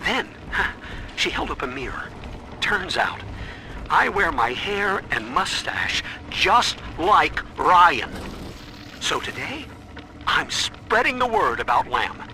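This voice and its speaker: A man's voice: on the high side, big pitch swings, takes it slowly, long pauses, pushed and bright, full character voice. An actor playing a brash, hot-headed working-class man, talking punchily and colloquially into an audio diary. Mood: rueful. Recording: slightly noisy audio diary.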